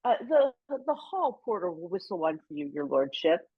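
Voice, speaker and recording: A woman's voice: fairly low pitch, very animated, normal speed, husky, phone-quality sound. A woman playing an obsequious club steward in a radio comedy, with a careful, hesitant politeness. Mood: hesitant, tactful. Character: deferential, flattering club steward serving his lordship. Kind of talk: radio play comedy acting.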